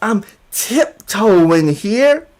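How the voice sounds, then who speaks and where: A man's voice: high voice, sing-song, very slow, a little mellow, exaggerated voice. Man mocking a timid, pleading YouTuber. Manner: pleading, over-apologetic, hedging. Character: timid, pleading male YouTuber, nervously tiptoeing around trouble.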